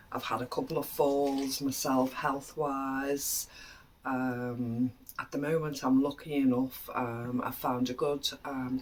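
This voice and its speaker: A woman's voice: extremely low pitch, rough recording. British lady vlogging, bit snuffly from a cold, lots of ums, faint noise behind. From United Kingdom. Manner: chatty, many "um" pauses, trailing off quietly. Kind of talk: casual chat to camera.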